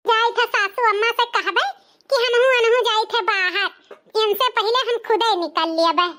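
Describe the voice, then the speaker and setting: Woman as a shrill, sly young daughter-in-law. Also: moderate pace, pushed and bright. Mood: wheedling. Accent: eastern Uttar Pradesh. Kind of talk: cartoon comedy dialogue in rural dialect.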